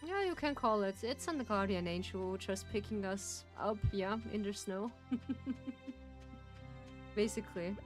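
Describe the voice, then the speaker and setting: A woman's voice: medium pitch, a little slow, long pauses, soft background music. A woman with a German accent, chatting casually as she streams a farming game. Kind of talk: casual gameplay chat.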